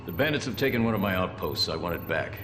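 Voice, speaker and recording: An actor playing a hard, suspicious army colonel in a game briefing, speaking in curt, authoritative orders. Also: male voice, sits low, animated, fast, roomy sound, background noise. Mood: stern, commanding. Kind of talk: game mission briefing acting.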